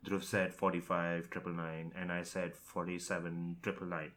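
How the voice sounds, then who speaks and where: A man's voice: deep voice, monotone, moderate pace, fairly bright tone. A man talking casually as a tech podcast host.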